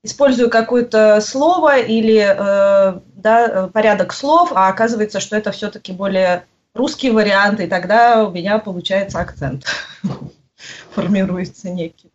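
A woman answering interview questions, pausing often and searching for her words. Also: mid-range voice. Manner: hesitant, searching for words with frequent pauses. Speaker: guest. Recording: muffled lo-fi audio.

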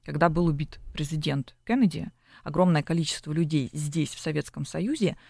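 A woman with a gravelly, fry-edged voice explains her subject patiently, like a lecturer, often tagging her sentences with a quick question to her listener. She speaks as an expert guest in a broadcast interview, and the recording is dry and close with little room sound. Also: low-pitched, dark tone, no room sound. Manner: lecturing, with frequent tag questions. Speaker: psychologist guest.

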